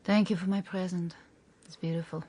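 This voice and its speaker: A husky, seductive schemer. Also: female voice, fairly low pitch, steady tone, a little fast, warm tone. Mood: seductive, teasing. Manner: sultry, teasing, controlled. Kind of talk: radio drama dialogue.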